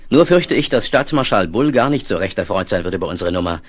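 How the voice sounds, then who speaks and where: An actor playing a crafty, daring, smooth-talking photo reporter in a 1970s German radio play. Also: male voice, sits low, animated, quick pace, dry recording. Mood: concerned.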